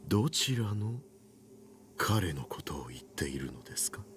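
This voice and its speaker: Çok kalın sesli, kibar bir kötü adam. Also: male voice, sits low, sing-song, a little hiss or hum. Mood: coolly probing. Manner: formal, polite, deliberate speech. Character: suave, menacing male villain, coldly polite and gloating. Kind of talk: Japanese visual novel voice acting.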